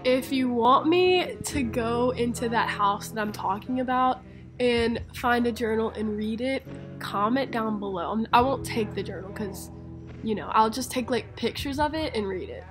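A young woman vlogs in a casual, chatty style on a handheld camera. Her voice sits a little high for a woman, and music plays clearly behind her. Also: moderate pace. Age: young adult. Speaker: vlogger. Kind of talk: casual vlog chat. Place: handheld camera on the move in an abandoned school, later outdoors and in a car.

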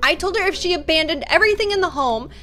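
A young woman hosting a game roleplay, bubbly and excitable as she plots. Her voice is very high and bright, bouncing up and down constantly. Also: fast talker, faint music behind. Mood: triumphant. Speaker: gaming channel host. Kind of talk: game roleplay and video outro.

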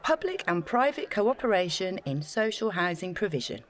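A woman's voice: mid-range voice, big pitch swings, normal speed, lightly acted. An actress playing an eager, self-effacing young woman in a naturalistic audio drama, talking in a chatty, gushing way. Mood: nervously confiding.

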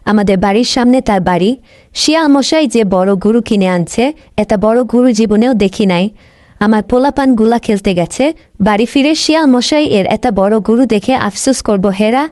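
A woman's voice: fairly high pitch, a little mellow, dry recording, clean studio sound, lightly acted. A woman playing a humble, worried animal mother in a cartoon, plaintive. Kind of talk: Bengali cartoon monologue.

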